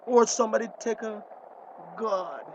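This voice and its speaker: An actor playing a guilt-ridden, worried older grandfather in a screen drama, halting and repeating himself, with a Belizean accent. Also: sits high, lo-fi sound, a little hiss or hum. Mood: guilt-ridden anguish. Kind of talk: acted screen drama dialogue.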